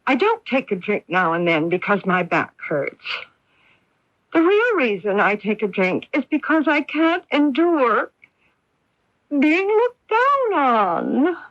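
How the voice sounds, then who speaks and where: High-voiced, bitterly wounded mother. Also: sing-song, takes it slowly, dry recording, muffled recording, full character voice. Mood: anguished despair. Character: wounded, bitter, quietly desperate drinking mother and wife. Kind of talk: radio drama acting. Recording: band-limited 1980s AM radio broadcast.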